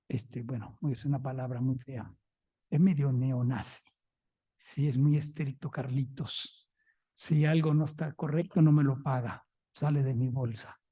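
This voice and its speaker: A man's voice: medium pitch, warm tone, breathy edge. A man with a Mexican Spanish accent giving a conference talk as a museum director, chatty and anecdotal, often checking in with listeners.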